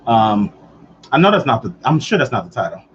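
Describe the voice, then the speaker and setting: A man's voice: medium pitch, sing-song, a little fast, slight background noise. A man in his late thirties hosting a casual live chat, talking loosely and answering viewers as he goes. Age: 30s. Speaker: livestream host. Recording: dull lo-fi livestream audio.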